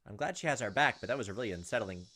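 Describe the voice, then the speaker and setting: Casting: male let's-play narrator, brisk pace, expressive visual novel reading with offhand commentary. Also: lowish voice, faint music behind. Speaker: gaming YouTuber reading a visual novel aloud. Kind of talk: expressive narration with casual asides.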